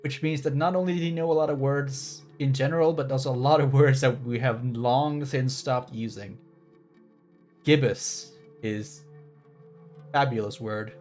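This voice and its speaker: A man's voice: mid-range voice, fairly even intonation, a little slow, a little mellow, faint music behind. A man giving casual, rambling game commentary as a livestreamer, with a playful, wry manner.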